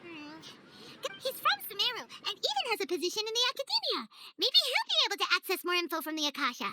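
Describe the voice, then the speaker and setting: Tiny fairy sidekick for a game, super high squeaky voice, excitable, swoops all over the place. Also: female voice, quick pace, very noisy, full character voice. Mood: pondering. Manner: speaks of herself in the third person, exclaiming. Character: small childlike female fairy companion, excitable and chirpy. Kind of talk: animated video game voice acting.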